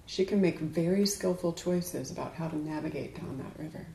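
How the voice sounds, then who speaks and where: A woman giving a dharma talk to a group as a Buddhist teacher, speaking in a measured, deliberate way with emphatic pauses. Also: deep voice, vocal fry, dark tone, a little hiss or hum. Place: indoors in a room. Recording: echoey room.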